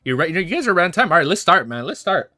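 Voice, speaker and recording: A man's voice: on the high side, big pitch swings, fast, sharp tone, no room sound, studio quality, faint music behind. A young man in his twenties hosting a livestream, chatting casually and reading a novel aloud, rambling and swearing with easy laughs. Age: 20s. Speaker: livestream host.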